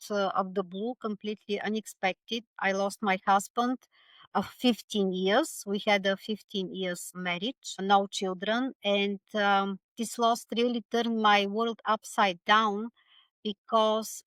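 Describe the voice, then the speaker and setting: Bulgarian-accented woman, earnest and halting. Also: mid-range voice, fairly bright tone, no echo, poor audio. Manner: earnest, halting, many fillers and restarts. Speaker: podcast guest. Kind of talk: reflective interview conversation.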